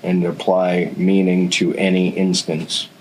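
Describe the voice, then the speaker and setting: A man's voice: deep voice, little pitch movement, a little slow, a little hiss or hum, rough recording. An American man hosting a casual interview podcast, dropping in short, affirming remarks.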